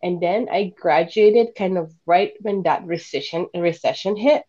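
A woman from Bosnia in her thirties, telling her story as a podcast guest. She talks in an animated way and laughs often. The audio sounds dull and lo-fi. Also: fairly low pitch, unhurried, husky. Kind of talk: casual interview talk.

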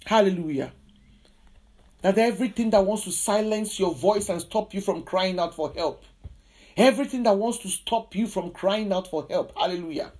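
A woman's voice: mid-range voice, normal speed, mellow. A woman preacher delivering a charismatic sermon and prayer, emphatic and insistent, with repeated declarative phrases.

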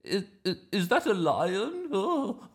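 A man voicing a dotty, hungry, excitable grandmother in a bedtime story, gushing and exclaiming with little hesitations. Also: sits high, slow pace, pitched above the performer's own voice, full character voice. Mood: astonished.

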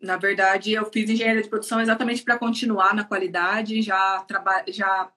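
A woman's voice: mid-range voice, flat delivery, a little fast, pushed and bright. A woman in her thirties from São Paulo state in Brazil, with a Brazilian accent, chatting as a guest on a live interview, laughing often and using many fillers. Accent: Brazilian Portuguese, São Paulo state. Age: 30s. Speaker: guest, production engineer.

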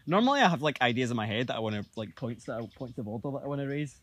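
A man's voice: mid-range voice, lively intonation, fairly bright tone. A man co-hosting a podcast outdoors, talking in a playful, camp way. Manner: quick, playful, self-described camp delivery. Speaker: podcast co-host. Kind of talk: casual chat. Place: outdoors near a railway line.

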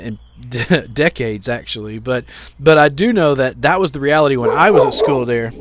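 A man's voice: medium pitch, no echo. A man, hosting a sermon-like podcast from outdoors, rambling and repeating words with self-deprecating humor. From Louisiana, American South. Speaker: podcast host.

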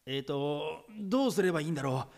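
A man's voice: a little high, lively intonation, fairly quick. A man playing a panicky younger brother in an audio drama, agonizing in an agitated, stammering inner monologue. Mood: bewildered. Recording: long reverberant echo.

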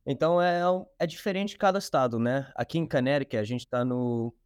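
A man's voice: medium pitch, expressive, brisk, darkish tone, clean tone, no echo, studio quality. A man in his twenties, born in the United States to Brazilian parents, speaking Portuguese with a Brazilian accent as a guest, explaining things plainly. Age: 20s. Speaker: real estate agent guest. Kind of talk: casual interview.

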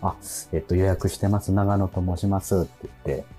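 A man, hosting radio with casual, animated storytelling. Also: deep voice, fairly quick, breathy edge. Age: 40s. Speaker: radio show host. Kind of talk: casual radio chat with anecdotes. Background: music.

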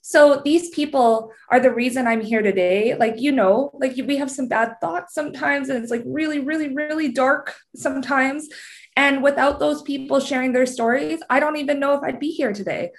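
A woman's voice: sits high, moderate pace. A young adult woman with a Canadian accent, a podcast guest chatting candidly and laughing as she tells her story. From Canada, British Columbia. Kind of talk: casual chat.

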